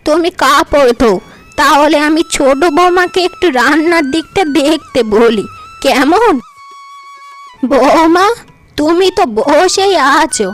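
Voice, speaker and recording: A woman playing a shrill fussy mother-in-law. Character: fussy middle-aged village mother-in-law. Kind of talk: cartoon voice acting.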